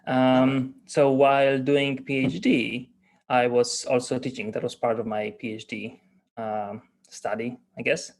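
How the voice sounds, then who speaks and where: A man with a Polish accent answers interview questions in a measured, explanatory way. He stops to think now and then, his voice at an ordinary middle pitch. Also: flat delivery. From Poland. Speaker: guest, agile coach.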